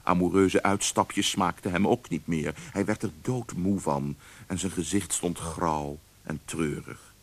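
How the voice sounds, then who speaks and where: A man narrating a radio drama as a storyteller, measured and expressive in a scripted literary style. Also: deep voice.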